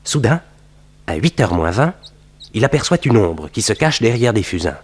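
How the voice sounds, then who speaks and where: Animated man narrating a radio thriller. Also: on the low side, moderate pace. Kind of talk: scripted suspense narration, 1960s French radio serial.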